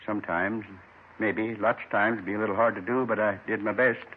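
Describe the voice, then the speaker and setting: An actor playing an earnest, resigned American robbery suspect in a 1950s radio drama. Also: male voice, lowish voice, fairly even intonation, fairly quick, a little hiss or hum, natural voice. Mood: remorseful, earnest. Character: remorseful, earnest male drunk turned robbery confessor. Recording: tinny 1950s radio recording.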